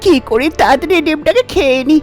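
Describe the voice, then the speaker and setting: Cartoon young daughter-in-law , played by a woman: greedy, sly, high and lively, scheming to herself. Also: fast, soft background music. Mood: panicked. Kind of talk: Bengali cartoon fairy-tale voice acting.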